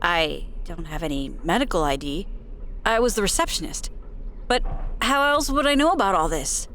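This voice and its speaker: A woman's voice: fairly low pitch, expressive, moderate pace, fairly bright tone, slight background noise. A woman acting as an earnest, determined young amateur investigator in a naturalistic audio drama, at times hesitant. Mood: earnest, pressing.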